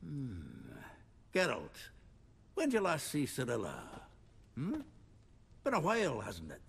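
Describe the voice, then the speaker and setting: An actor playing a jovial, wheedling fellow in a fantasy game, familiar and hemming in manner. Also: male voice, on the high side, wildly swooping pitch, unhurried, creaky, fairly bright tone, husky, long reverb tail, exaggerated voice. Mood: coaxing. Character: jovial, chummy, wheedling man of the fantasy world.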